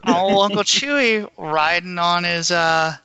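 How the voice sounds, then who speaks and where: A man with a slightly high, very bright and forceful voice co-hosts a podcast. He talks casually as an enthusiastic fan discussing films and books, and the sound is dry, with little room in it. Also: unhurried, clean tone, no echo, highs cut off. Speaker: podcast co-host. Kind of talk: casual film and book discussion.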